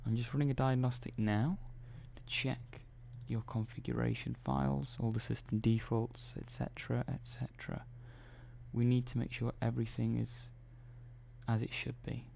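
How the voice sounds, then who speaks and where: A man playing a calm, methodical robot engineer in an ASMR roleplay, speaking softly and slowly with hesitant pauses. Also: mid-range voice, vocal fry, a little hiss or hum. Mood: reassuring. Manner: soft-spoken, slow and hesitant, many pauses and "well"s.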